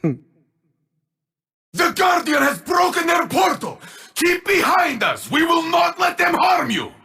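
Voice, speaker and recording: Loyal armored warrior, bright forceful tone, slow formal pacing, gruff, video game. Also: male voice, extremely high pitch, huge pitch swings, exaggerated voice. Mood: battle cry. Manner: formal, declamatory. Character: valiant, earnest armored warrior man, fiercely loyal.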